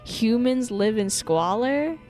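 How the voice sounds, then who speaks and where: A woman's voice: fairly high pitch, expressive, slow pace, darkish tone, faint music behind. A young woman giving casual commentary while playing a game, joking, swearing freely and laughing often. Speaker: gaming streamer. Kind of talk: casual let's-play commentary.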